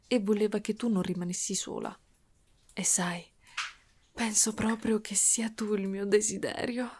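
Slow, cooing yandere dragon woman played by a woman. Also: medium pitch, steady tone, a little mellow, a little hiss or hum, close to an everyday voice. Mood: possessive, adoring. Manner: cooing, teasing, lingering on words, giggly. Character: doting, possessive yandere dragon woman, sweet and clingy. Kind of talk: ASMR roleplay, intimate character acting.

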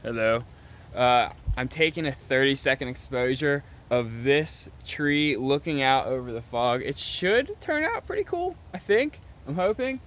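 A young man vlogging casually to a handheld camera as he hikes a wooded mountain trail, relaxed and upbeat. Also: medium pitch, a little slow. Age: young adult. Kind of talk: casual vlog narration while hiking. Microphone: handheld camera at arm's length.

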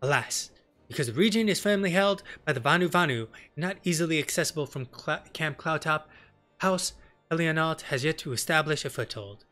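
A man's voice: a little high, expressive, moderate pace, bright, forceful tone. A man voicing a dutiful female knight officer in a fantasy game, polite and businesslike. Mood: dutiful, reporting. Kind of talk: fantasy game dialogue reading. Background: game music.

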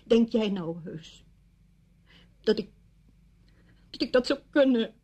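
An actress in a Dutch-language radio play voicing an indignant, hurt widow with a reproachful, emphatic manner. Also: female voice, fairly high pitch, lively intonation, takes it slowly, dark tone, breathy edge, full character voice. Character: wounded, indignant widow confronting her former best friend. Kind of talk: radio drama acting.

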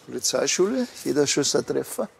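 Gravelly, deadpan, sarcastic policeman. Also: a little high, brisk, a little hiss or hum, exaggerated voice. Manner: dry, deadpan, teasing. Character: dry, unbothered, sarcastic male police officer. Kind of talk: comedy crime drama acting.

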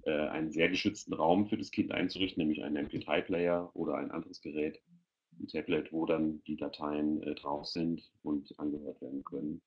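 Middle-aged man, very low voice, informal webinar explainer, searching for words, muffled headset recording. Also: little pitch movement, a little fast. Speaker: panel guest presenting a children's audio portal. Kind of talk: informal presentation in an online webinar. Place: indoor room, video call. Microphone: headset on a video call. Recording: dull lo-fi video-call audio.

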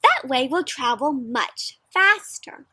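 An eager storybook schoolboy acted out by a woman, bursting with confidence and wild ideas. The voice is very high and bright, with excited, pushed exclamations. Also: takes it slowly, no room sound, heavily acted.

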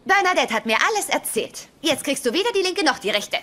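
High, teasing sitcom girlfriend. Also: big pitch swings, a little fast, background noise. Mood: miffed. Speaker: sitcom character. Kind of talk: German-dubbed sitcom acting.

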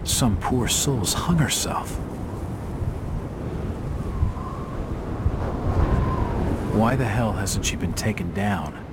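An actor playing a wry, persistent hard-boiled detective in a video game, sardonic and probing. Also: male voice, lowish voice, animated, a little slow, very noisy. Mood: musing, disturbed.

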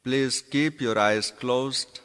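Male meditation guide, mid-pitched, deliberate short phrases at a very slow pace, spacious reverberant sound. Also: fairly bright tone, clear, resonant tone. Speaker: yoga nidra instructor. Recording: long reverberant hall echo.

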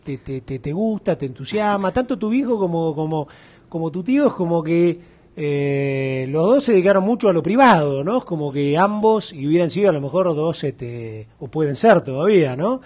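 Man, Argentine accent, middle-aged, husky, raised pitch, animated, TV studio, lapel mic. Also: moderate pace. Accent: Argentine Spanish. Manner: animated, gestures a lot, acts out quoted voices. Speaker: interviewer. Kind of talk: casual interview chat.

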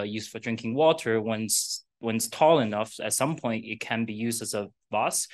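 A man asks a panel a string of questions from the audience, his voice mid-pitched and ordinary. He speaks haltingly, filling pauses with uh and um and restarting his phrases. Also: fairly bright tone. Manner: halting, many uh and um fillers. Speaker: audience member. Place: lecture room.

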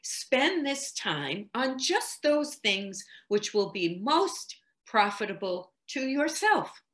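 A woman's voice: mid-range voice, fairly bright tone, voice pushed up. A woman voicing an earnest, authoritative husband in a period story, formal, emphatic and persuasive.

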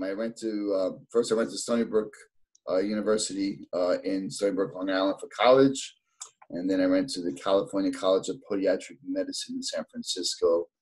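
A man's voice: sits low, a little mellow, low-quality recording. A man in his fifties from New York City with a New York accent, a podiatrist guest chatting casually on a video call, rambling with many fillers. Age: 50s. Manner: rambling, many fillers and restarts, laughs easily. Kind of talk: casual interview. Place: indoor office.

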